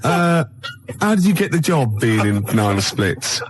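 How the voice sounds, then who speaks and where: A man putting on a hesitant, bumbling celebrity panellist who deliberates haltingly in a comic radio game-show sketch. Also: medium pitch, very animated, a crowd in the background. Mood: puzzled, earnest. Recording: noisy radio studio recording.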